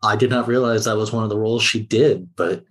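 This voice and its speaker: A man's voice: lowish voice, fast. Musing man as podcast guest. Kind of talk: casual podcast discussion.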